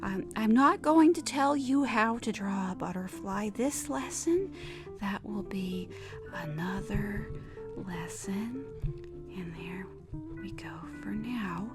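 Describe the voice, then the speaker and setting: Over clear background music, a woman plays an awkward, wistful hostess of a macabre audio show. She teaches very slowly and haltingly, with deliberate care and hesitant pauses. Her pitch sits in an ordinary female range. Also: expressive. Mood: halting, patient. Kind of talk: scripted horror-comedy podcast monologue, mock drawing lesson. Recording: music behind the voice.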